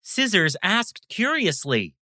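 A woman reading a children's bedtime story as a scripted, animated narrator, acting out the sound words. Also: mid-range voice, slow pace, dry recording, clean studio sound.

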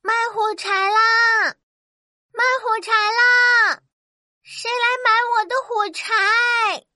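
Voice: very high-pitched, little pitch movement, takes it slowly, pushed and bright, dry recording, studio quality, heavily acted. A performer voicing a poor, cold, hungry little match-selling girl in a children's storybook, pleading and exclaiming.

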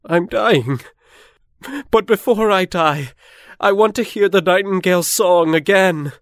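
A man voicing a sentimental, excitable emperor in a theatrical way for a children's fairy tale. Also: high-pitched, measured pace, no room sound, clean studio sound. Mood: weak, pained.

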